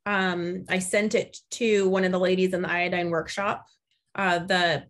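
A woman in her forties hosts a relaxed group video call from home. She tells stories in a chatty, animated way and acts out what other people said. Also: lowish voice, unhurried. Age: 40s. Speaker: podcast host. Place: home room, indoors.

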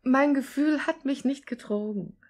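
A woman playing a kindly, trusting, well-bred mother in a 1950s radio drama, calm and genteel. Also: sits high, a little slow. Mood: assured, kindly.

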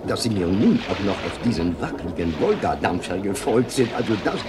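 An actor playing a theatrical French war correspondent in a radio play, talkative and elaborately polite. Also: male voice, sits low, very animated, a little fast, very noisy. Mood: mock indignant. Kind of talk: German radio play acting.

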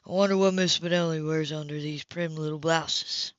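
A woman's voice: low-pitched, steady tone, normal speed, dry recording, voice pushed down, lightly acted. A woman reading the part of a hot-tempered, possessive male lover, direct and seductive, in a romance audiobook. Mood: seductive, intense. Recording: dull lo-fi home recording.

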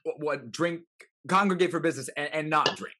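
A young man playing a boisterous, teasing gentleman drinker with a husky voice in a classical stage comedy. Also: on the high side, fairly quick, background noise, close to an everyday voice. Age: young adult. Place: home room, video call.

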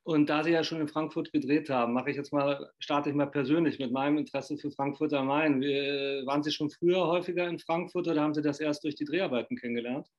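A man's voice: on the high side, steady tone, fast, hardly any pauses, lo-fi sound. A middle-aged man from Germany interviewing an actress over a video call, talking in a rambling, chatty way full of fillers. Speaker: interviewer and magazine editor. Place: living room at home. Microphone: video call webcam.

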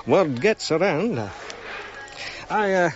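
An actor playing a friendly, easygoing companion to an archaeologist in a radio drama, slightly hesitant in manner. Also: male voice, sits high, expressive, slow, highs cut off. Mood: warm, defending.

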